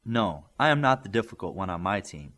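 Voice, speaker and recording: A man's voice: on the low side, very animated, a little fast, fairly bright tone. A man reading the part of a blunt, confident male superhero team leader in a storybook, straight-faced and matter-of-fact. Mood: dry, assured.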